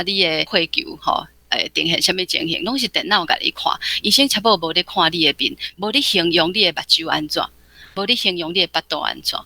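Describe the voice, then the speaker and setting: A radio guest from Taiwan reflecting on a novel, speaking thoughtfully with frequent pauses. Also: mid-range voice, gravelly, bright, forceful tone. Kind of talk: literary commentary.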